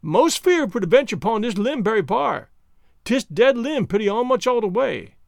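Man voicing a high, folksy old servant. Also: very animated, normal speed, vocal fry, no echo, clean studio sound, full character voice. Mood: anxious. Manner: dialect speech, dropped words, exclaiming protests. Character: old male servant, fearful but stubborn, folksy. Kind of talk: audiobook character voice.

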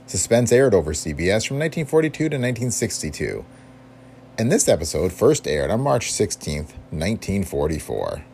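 Male podcast host, low and lively intonation, scripted intro, faint noise.

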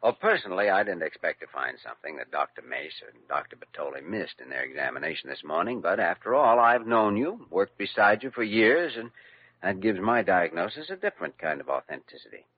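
A man's voice: low-pitched, a little fast, breathy edge, exaggerated voice. A man playing an earnest young doctor who teases his older colleague, in a 1940s radio drama. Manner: earnest, teasing, animated. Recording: lo-fi old radio broadcast.

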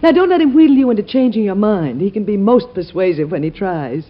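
Woman playing a lively, motherly first lady, muffled radio. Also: mid-range voice, a little fast, natural voice. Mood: polite, concerned. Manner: polite, motherly, expressive. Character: gracious, fussing, gently wry president's wife and mother. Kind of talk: old-time radio drama acting. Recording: muffled old radio recording.

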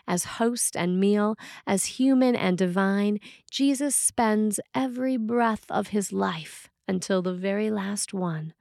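A woman priest reading a scripted sermon in a measured, deliberate and solemn manner. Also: medium pitch, a little mellow, no echo, studio quality. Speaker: Episcopal priest reading a sermon written by a colleague. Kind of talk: read sermon, scripted narration.

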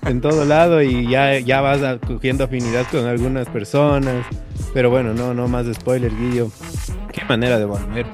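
A man co-hosting a podcast in an excitable, rambling, casual way. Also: mid-range voice, moderate pace, over music. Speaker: podcast co-host.

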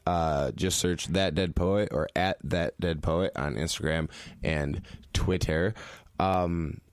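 A man hosting a podcast, upbeat and chatty, laughing off his own stumbles. His voice sits fairly low. The sound is close and dry. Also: fairly even intonation, takes it slowly, dry recording. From Holland, Michigan. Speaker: podcast host. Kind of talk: casual interview chat.

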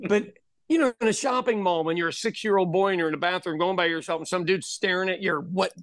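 Animated middle-aged man telling stories, dry sound. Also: high-pitched, fast, no echo. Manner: animated storyteller, acts out quoted voices. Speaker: podcast host. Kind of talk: casual conversation.

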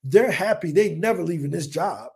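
A man in his forties from the United States, a business owner telling stories on a podcast in an energetic, casual way, acting out his own past thoughts. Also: a little high, normal speed, vocal fry. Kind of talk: casual interview talk. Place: home in Colombia.

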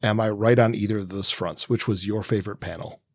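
A middle-aged man with a fairly low voice speaks as a podcast co-host in a dry recording. His commentary is casual but analytical, and he often branches into asides before circling back to the point. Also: fairly even intonation, brisk, no room sound. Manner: discursive, self-interrupting asides.

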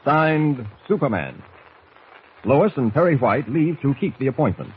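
A man's voice: medium pitch, very animated, a little slow. A man narrating a 1940s radio serial as announcer, declamatory and full of suspense. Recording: muffled, hissy vintage radio recording.

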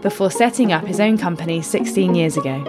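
A woman's voice: on the low side, expressive, a little fast, loud music behind the voice. A woman hosting a podcast, introducing her guest and asking friendly, conversational questions. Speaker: podcast host.

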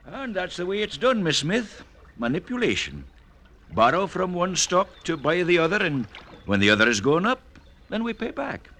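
An actor playing an eager, bashful young gentleman in love, in an earnest radio drama style. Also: sits high, moderate pace, slight background noise, heavily acted. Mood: confident. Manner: excitable, earnest. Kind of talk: old-time radio drama acting.

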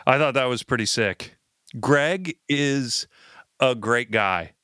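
A man's voice: mid-range voice, slow, sharp tone, clean tone, no room sound, studio quality. A middle-aged man with an American accent, chatting casually as a podcast co-host. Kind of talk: casual chat.

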